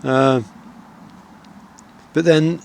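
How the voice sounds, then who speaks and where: A middle-aged man with a Wiltshire country accent, vlogging outdoors in the countryside and reflecting in a soft, rambling way full of pauses. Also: on the high side, fairly even intonation, vocal fry, clean tone. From England. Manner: soft-spoken, rambling, with many pauses and fillers.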